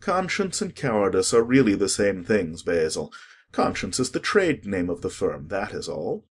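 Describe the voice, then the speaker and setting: An actor playing a languid, witty, cynical aristocrat in a dramatic audiobook, with a teasing drawl. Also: male voice, deep voice, big pitch swings, normal speed, slightly rough, full character voice. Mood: wry.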